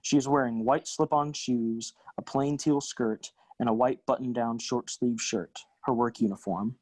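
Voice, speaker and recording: A man reading stage directions as scripted narration, in measured, neutral, deliberate phrases. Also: mid-range voice, steady tone, a little mellow, dry recording.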